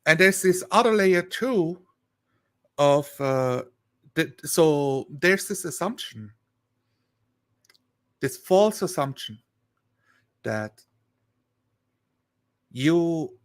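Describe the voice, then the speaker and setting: A man chatting casually on a live stream, rambling and thinking aloud in a halting way. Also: a little high, expressive.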